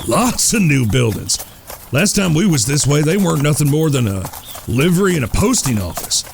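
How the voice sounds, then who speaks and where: An actor playing a stern, protective outlaw older brother in a Western audio drama, with an American Southern accent and a folksy, commanding way of talking. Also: male voice, on the high side, sing-song, normal speed, gravelly, slight background noise. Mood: surprised.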